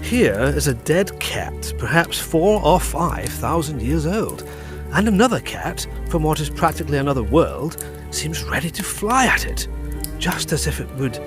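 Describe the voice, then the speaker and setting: An actor playing a keen, learned gentleman fascinated by antiquities in a gothic audio drama, marvelling as he explains. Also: a little high, measured pace, over music. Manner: marvelling, expressive.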